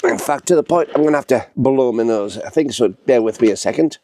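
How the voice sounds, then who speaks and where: A man's voice: medium pitch, lively intonation, fairly quick, noisy background. A man, congested with a head cold, chatting to camera in a rambling, casual vlog with lots of fillers and restarts. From northern England. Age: 60s. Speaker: vlogger. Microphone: small handheld camera.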